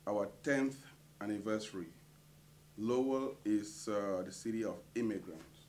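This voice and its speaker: A middle-aged man from Cameroon, a community association treasurer, answering interview questions with many hesitant pauses. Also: fairly low pitch, darkish tone, a little hiss or hum.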